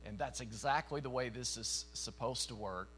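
A man in his forties from East Tennessee with a Southern accent, preaching a sermon to a congregation in a folksy, emphatic way that swells into louder bursts. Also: mid-range voice, moderate pace, soft background music. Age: 40s. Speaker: traveling preacher. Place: church meeting in front of a congregation.

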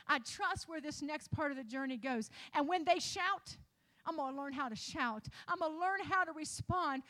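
A woman pastor preaching a sermon in a repetitive, rising cadence that builds toward shouts. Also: very high-pitched.

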